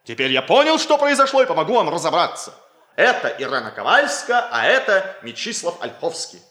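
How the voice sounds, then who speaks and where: A brash young student bossing and teasing his friends in an old radio comedy. His bright, high voice swings widely as he scolds, in a roomy studio sound. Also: sing-song, brisk. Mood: mocking, commanding. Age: young adult. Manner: emphatic, scolding, exclamatory. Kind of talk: 1960s radio comedy acting.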